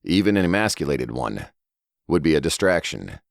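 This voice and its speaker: A man's voice: rumbling low, very animated, normal speed, vocal fry, no echo, clean studio sound. A man reading scripted horror fiction aloud as a dramatic narrator. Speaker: audiobook narrator.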